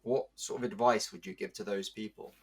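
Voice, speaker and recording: A man's voice: fairly low pitch, steady tone, a little fast, slightly rough. A man asking casual interview questions, drawing on his own experience as a voice actor.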